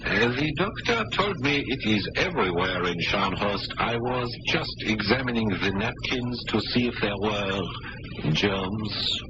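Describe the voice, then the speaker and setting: An actor playing a resourceful, quick-witted French pilot prisoner with a confident, take-charge manner in old-time radio drama. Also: male voice, on the low side, animated. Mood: deferential, bluffing. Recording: noisy muffled old radio recording.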